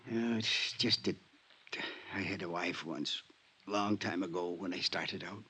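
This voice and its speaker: Dying male convict, hoarse, forceful strained edge, halting slang, resigned and wry, old radio drama. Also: low-pitched, exaggerated voice. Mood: halting, urgent. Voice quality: hoarse, weak, coughing. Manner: slangy, halting tough-guy speech. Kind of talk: 1950s radio drama acting. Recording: vintage 1950s radio recording.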